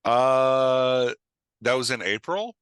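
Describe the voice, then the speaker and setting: A man's voice: medium pitch, slow, a bit bright, smooth, no room sound. A middle-aged man chatting casually as a podcast guest, informal and jokey, rambling as he goes. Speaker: podcast guest, author and writing coach. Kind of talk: casual chat.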